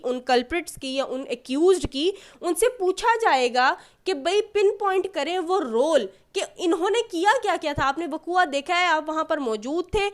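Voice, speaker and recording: A woman with a Pakistani accent giving an explanatory lecture, teaching in a didactic way and often restating her points. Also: high-pitched. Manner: didactic, repeats and rephrases points. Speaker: law lecturer. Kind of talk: explanatory lecture mixing Urdu and English terms.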